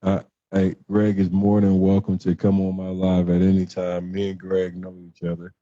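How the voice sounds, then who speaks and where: A man's voice: monotone, normal speed, dark tone. A man hosting a casual livestream, talking bluntly in slang and repeating words for emphasis. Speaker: host of a livestream show. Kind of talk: casual live talk with commentary. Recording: muffled, boomy roomy livestream audio.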